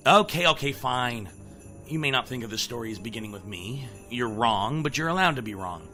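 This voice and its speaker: Man playing a cocky bird narrator, bright and forceful. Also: medium pitch, lively intonation, fairly quick, faint music behind. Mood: cocky, theatrical. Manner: cocky, flippant, theatrical. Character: boastful, sarcastic male talking bird narrator. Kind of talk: comic audio drama narration.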